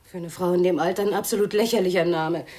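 A woman's voice: fairly low pitch, steady tone, quick pace, dark tone, close to an everyday voice. An actress playing a touchy, reproachful wife and fussing mother in a German radio play. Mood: defensive, edgy.

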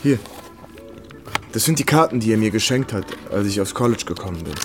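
A man acting an easygoing army captain with a fairly low voice. He talks courteously and conversationally, as in a natural radio play, with faint music behind him. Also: animated, brisk, close to an everyday voice. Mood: confiding.

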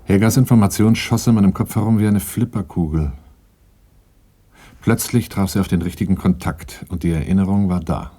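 A very low male voice narrates a radio crime drama. He is wary and matter-of-fact, with a soft, dark tone and a husky edge. His delivery is measured and sober. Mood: sober, tense. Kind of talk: radio drama acting, first-person narration and dialogue.

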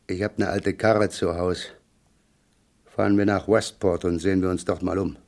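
An actor playing a tough, wary crook in a noir radio drama, speaking in an offhand, slangy, self-assured way. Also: male voice, low voice, little pitch movement, moderate pace, vocal fry, darkish tone. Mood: scheming, offhand.

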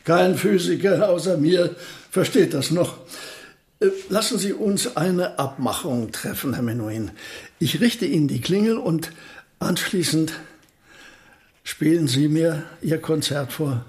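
An elderly physicist of genius in a radio play, his voice notably high for a man. He speaks in precise, politely formal phrases, modest on the surface and quietly stubborn underneath. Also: lively intonation, unhurried. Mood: pedantic, resolute. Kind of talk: German radio play acting.

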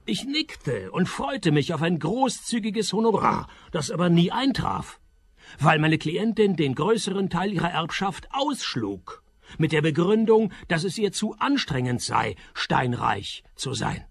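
An actor playing a sardonic, down-at-heel private detective who narrates and talks in a deadpan manner in a radio play. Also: male voice, a little high, a little slow, gravelly, slightly rough, no room sound, studio quality, exaggerated voice. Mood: wry, resigned.

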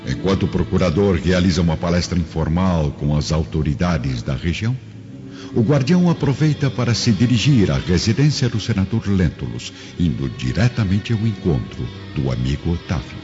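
A man's voice: low-pitched, very animated, normal speed. A man narrating a radio drama in a dramatic, scripted style. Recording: dull lo-fi audio under loud music.

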